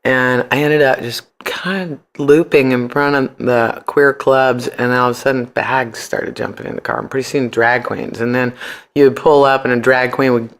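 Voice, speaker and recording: Podcast guest, American, mid-pitched, colloquial and animated, tells stories casually and slips into quoted dialogue. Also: normal speed. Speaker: podcast guest, founder of a ride service. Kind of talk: casual storytelling in an interview.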